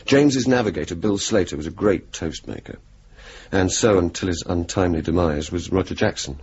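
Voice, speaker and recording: Low-voiced, wry young RAF officer. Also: male voice, fairly quick, darkish tone. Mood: wry storytelling. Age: young adult. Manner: wry, mock-pompous wording mixed with service slang. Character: witty young RAF bomber officer, drily irreverent. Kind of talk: 1980s radio drama, narration and banter. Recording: dull, hissy old radio recording.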